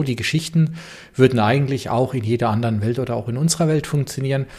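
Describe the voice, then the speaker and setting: A man with a gravelly, slightly husky voice at an ordinary pitch, talking through a book review on his own podcast. He muses aloud, hedges his opinions and pauses on small fillers, in a casual solo style. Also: a little mellow. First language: German. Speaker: podcast host reviewing books.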